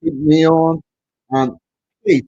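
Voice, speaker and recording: A man's voice: on the high side, fairly even intonation, takes it slowly, dark tone, highs cut off. A man hosting a casual live stream, chatting loosely with viewers and muttering under his breath. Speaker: streamer host.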